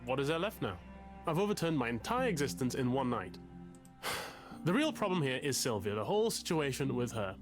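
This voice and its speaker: A male actor voices an anxious, self-pitying intellectual man in a narrative game. His pitch moves in lively swings as he halts, interrupts himself and spins wordy confessions. Music plays clearly behind him. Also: close to an everyday voice. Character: anxious, bookish, self-pitying man agonizing over an affair. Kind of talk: confessional dramatic game dialogue.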